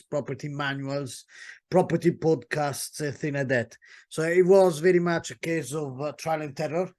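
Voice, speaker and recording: A middle-aged man with an Italian accent giving a webinar talk as a property solicitor, speaking with many hesitations and restarts. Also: a little high. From Italy.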